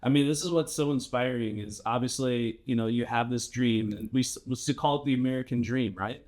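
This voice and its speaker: A man's voice: medium pitch, fairly quick, a little mellow. A man hosting an interview podcast, talking in an upbeat, effusive way and heaping praise on his guest. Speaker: podcast host. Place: studio in Madison, Wisconsin.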